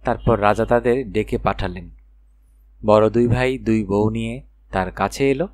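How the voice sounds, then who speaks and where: A man's voice: lowish voice, measured pace, darkish tone, no room sound. A man narrating a children's fairy tale expressively as an audiobook reader.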